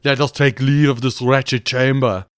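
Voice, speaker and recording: A man acts out a brash barbarian warrior from a fantasy audiobook. He declaims in archaic phrases, loud and blunt. The recording is close and dry. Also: medium pitch, flat delivery, a little slow, smooth, dry recording, lightly acted.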